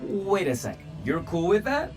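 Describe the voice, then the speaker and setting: A woman playing a gutsy, nonchalant operative in a video game, with a husky voice and brisk manner. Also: mid-range voice, big pitch swings, over music. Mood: confident, casual. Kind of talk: video game cutscene acting.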